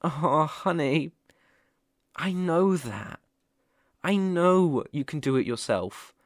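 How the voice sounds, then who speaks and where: A man's voice: fairly high pitch, animated, slow, no room sound, clean studio sound. A man playing a caring, worried boyfriend in an ASMR roleplay, talking in a soothing, tender, reassuring way. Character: caring, worried boyfriend fussing tenderly over sick partner.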